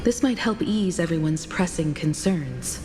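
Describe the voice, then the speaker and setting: An actress playing a composed, reassuring crew leader in a video game, speaking in a calm, measured way. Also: female voice, low voice. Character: composed, reassuring, mature woman leading a space crew. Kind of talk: English video game voice acting. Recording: long reverb, music behind.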